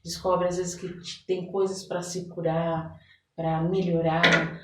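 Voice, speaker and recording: A woman's voice: fairly low pitch, little pitch movement, slow pace, heavy background noise. A Brazilian woman talking as an interview guest, explaining her coaching work conversationally and often checking for agreement. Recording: roomy echo, distant from the mic.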